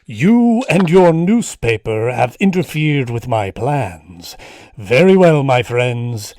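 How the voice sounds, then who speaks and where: A menacing male mastermind, acted by a man in old radio-serial style. He speaks slowly and deliberately, with gloating theatrical swoops, recorded dry and close. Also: medium pitch, sing-song, warm tone, no room sound. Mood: gloating menace. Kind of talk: 1940s radio serial acting. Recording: live home-streamed radio play.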